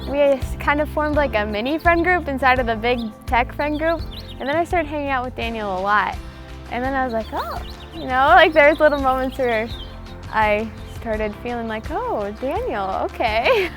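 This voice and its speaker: High-voiced giggly young woman over music. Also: expressive, moderate pace. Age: young adult. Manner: giggly, gushing, liberal use of "like". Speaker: bride-to-be telling her love story. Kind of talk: casual personal storytelling. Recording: music behind the voice.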